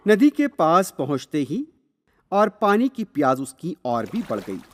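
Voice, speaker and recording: A man narrating a scripted children's fable as a storyteller, expressive and deliberate. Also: fairly high pitch, background noise. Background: music.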